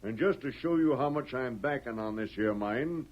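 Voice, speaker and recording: An actor playing a gruff, obstinate old gold prospector with folksy, blunt talk in a radio western. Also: male voice, mid-range voice, lively intonation, normal speed, close to an everyday voice. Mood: defiant, stubborn. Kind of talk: 1940s radio western acting. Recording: dull, muffled old radio recording.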